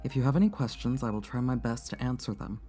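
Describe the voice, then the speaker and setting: Actress as a low, mellow, composed woman. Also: faint music behind. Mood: formal, careful. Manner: measured, careful, sympathetic. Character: composed, kindly woman reading a final letter. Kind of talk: audio drama dialogue.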